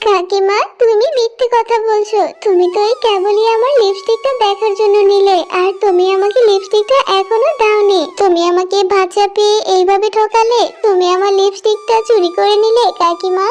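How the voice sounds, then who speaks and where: Very high-pitched little fox girl. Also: steady tone, moderate pace, few pauses, darkish tone. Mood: upset, pleading. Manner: childlike, pleading. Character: sweet young fox girl, earnest and easily hurt. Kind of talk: children's cartoon voice acting.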